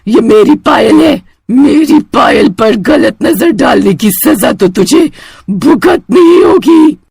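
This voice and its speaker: A vengeful female witch ghost acted by a woman from a horror cartoon. She taunts and threatens forcefully, with high, very animated pitch in a dry recording. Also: takes it slowly, creaky, slightly rough, no room sound, exaggerated voice. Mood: menacing, taunting. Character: menacing vengeful female witch ghost guarding her anklet. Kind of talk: horror cartoon villain acting.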